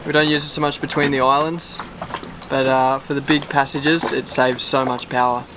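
A man in his thirties hosting a casual sailboat tour, explaining things in a relaxed way with plenty of fillers, recorded outdoors on deck. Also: mid-range voice, normal speed, background noise. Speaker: sailing vlog host. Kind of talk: casual boat tour walkthrough.

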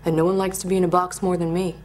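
A young woman playing a tough, rambling parole officer in a comedy monologue, menacing and halting. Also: on the low side, level tone, a little mellow, slight background noise, close to an everyday voice. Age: young adult.

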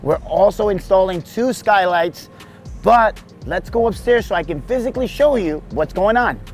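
Man, forties, roofing contractor vlog host, upbeat and salesy, outdoors on a roof, lapel mic, somewhat high voice, music bed behind. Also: normal speed. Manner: upbeat, salesy, keeps addressing viewers as "guys". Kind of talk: casual on-site vlog walkthrough. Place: outdoors on a house roof and front yard. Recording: background music under the voice.

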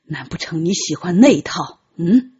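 A woman's voice: fairly low pitch, lively intonation, normal speed, warm tone, slightly rough, muffled recording, pitched below the performer's own voice. A woman narrator voicing a lecherous, smugly confident emperor in a teasing, domineering manner for an audiobook.

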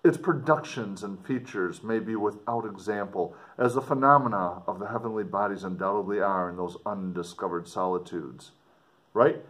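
A middle-aged man, a teacher, reading a novel aloud to students with casual asides, expressive and declamatory when reading. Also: fairly low pitch, normal speed. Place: indoors in front of a dark board.

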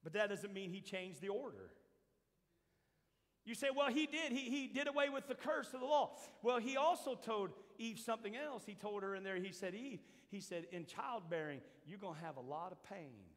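An older man preaching a sermon as pastor to his church, shifting from quiet asides to shouted points. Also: high-pitched, animated, moderate pace. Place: large church hall with a seated congregation. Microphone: handheld mic, roaming the stage. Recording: long hall reverb.

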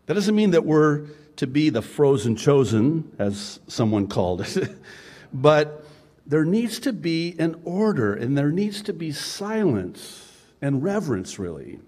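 A man's voice: a little high, sing-song, a little slow, darkish tone. Male pastor, 50s, Arabic native speaker, conversational pulpit delivery with chuckling asides. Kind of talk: sermon.

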